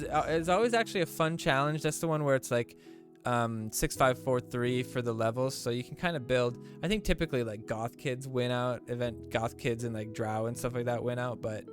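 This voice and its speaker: A man hosting a gaming live stream in casual commentary, sounding stuffy and rambling with lots of fillers and restarts. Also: mid-range voice. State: stuffy nose.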